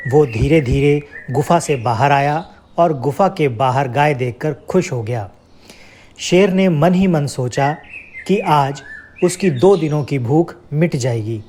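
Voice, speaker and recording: A man's voice: mid-range voice, darkish tone, a little hiss or hum. A man narrating a scripted children's moral story as a storyteller.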